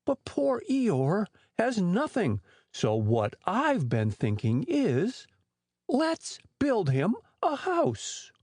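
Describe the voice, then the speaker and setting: A woman voicing a slow-witted, kindly, earnest toy bear in a children's storybook reading. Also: medium pitch, huge pitch swings, a little mellow, slightly rough, no room sound, studio quality, exaggerated voice. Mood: thoughtful, earnest. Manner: ponderous, earnest.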